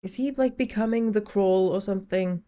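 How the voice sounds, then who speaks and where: A woman's voice: mid-range voice, normal speed, mellow. A woman reacting casually as a video host, with a playful, jokey, slangy way of talking.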